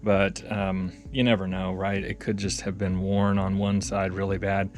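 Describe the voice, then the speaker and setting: A man's voice: sits low, level tone, moderate pace, faint music behind. A man giving casual, hands-on repair commentary, rambling and thinking aloud in a folksy way. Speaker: audio repair vlogger.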